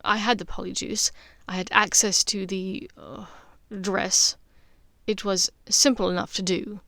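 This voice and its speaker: A woman's voice: mid-range voice, flat delivery, gravelly, sharp tone, no room sound. Woman voicing a grumbling, awkward young wizard. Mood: weary, reassuring. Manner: halting, begrudging, awkward.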